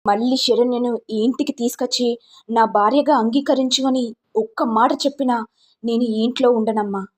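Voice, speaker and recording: A man's voice: extremely high pitch, fairly even intonation, normal speed, darkish tone. A woman voicing an angry, defiant adult son in a story, ranting and accusing. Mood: defiant anger.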